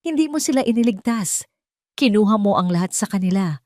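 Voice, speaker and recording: A woman's voice: mid-range voice, lively intonation, a little fast, darkish tone, no echo, clean studio sound, pitched above the performer's own voice, natural voice. A woman voicing an angry, defiant young female captive in an audio story, accusing and emphatic. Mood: angry, tearful.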